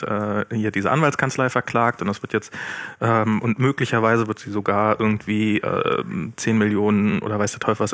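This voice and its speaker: A man co-hosting a podcast, talking casually and haltingly as he searches for words. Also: on the low side, fairly bright tone, dry recording. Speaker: podcast co-host. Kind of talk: casual discussion.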